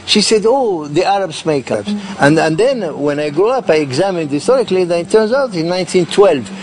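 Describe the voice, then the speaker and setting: A man from Morocco giving an explanatory documentary interview, haltingly repeating words as he goes. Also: high-pitched, animated, a little mellow, slight background noise.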